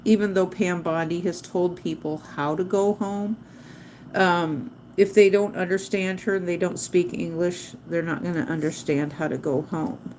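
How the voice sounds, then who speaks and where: A woman talks in a slow, unhurried way, her voice sitting a little low. She rambles from topic to topic in a chatty livestream style. Now and then she spells words out letter by letter, over a faint background hum. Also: steady tone, a little mellow, rough recording. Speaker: livestream host teaching drawing. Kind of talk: rambling casual livestream chat.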